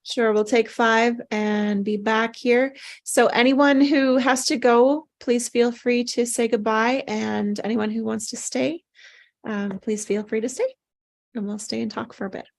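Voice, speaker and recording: A woman's voice: a little high, steady tone, a little slow, vocal fry. A woman hosting an online table read, chatting casually. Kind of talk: casual chat.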